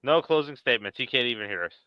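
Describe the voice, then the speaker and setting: A man's voice: mid-range voice, lively intonation, very fast, clear, resonant tone, dry recording. A man hosting a casual live-stream chat, on a slightly dull-sounding mic. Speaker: show host.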